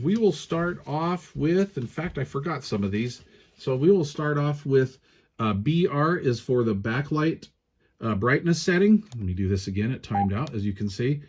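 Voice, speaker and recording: A man's voice: medium pitch, darkish tone. A man giving a casual, hands-on product review, explaining step by step in an informal, hesitant way. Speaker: radio gear reviewer.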